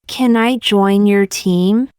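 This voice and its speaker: A woman reading short practice sentences aloud for learners, saying each one twice, slowly and carefully. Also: medium pitch, a little mellow, smooth, dry recording, clean studio sound. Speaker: English teacher.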